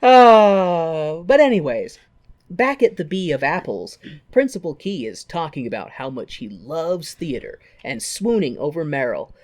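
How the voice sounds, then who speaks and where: An irreverent podcast co-host with a mid-pitched voice recaps a movie in a casual, comic way. The delivery is conversational and sweary, with jokey asides dropped into the retelling. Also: sing-song, a little slow, darkish tone.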